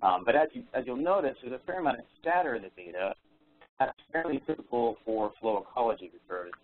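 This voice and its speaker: A man's voice: medium pitch, lively intonation, quick pace, narrow, tinny audio. A man explaining research findings in a plain-spoken, informal technical presentation on a conference call. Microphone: phone conference call. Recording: thin, band-limited phone conference line.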